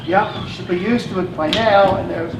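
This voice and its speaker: A man's voice: sits high, fairly even intonation, moderate pace, vocal fry. An actor playing a forward, flirtatious man in a stage play. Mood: flirty. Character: flirty, pushy male passenger. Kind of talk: live stage play acting. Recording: distant, echoey, noisy stage recording.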